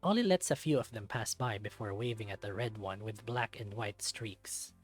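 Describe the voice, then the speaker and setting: A man reading a visual novel aloud as an expressive narrator. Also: fairly low pitch, normal speed, slightly rough, no echo, faint music behind.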